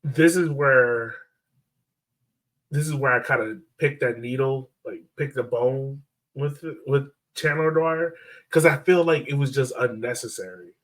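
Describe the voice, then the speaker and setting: A man's voice: medium pitch, unhurried, a bit bright, far from the mic. A man co-hosting a sports podcast, casually breaking down a soccer match and repeating himself as he thinks aloud.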